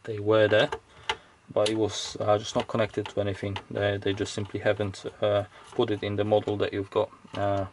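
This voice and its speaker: Low, creaky-voiced man thinking aloud. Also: completely flat delivery, normal speed, slight background noise. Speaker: electronics teardown host. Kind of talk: casual off-the-cuff technical commentary.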